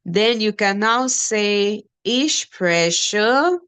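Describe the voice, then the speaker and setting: A Nigerian woman teaches a beginner class at a very slow pace. She breaks example sentences into single words and says each one on its own. Her voice sits at a middle pitch for a woman. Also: animated, fairly bright tone, clear, resonant tone. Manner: slow, repeats example phrases word by word. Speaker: German teacher.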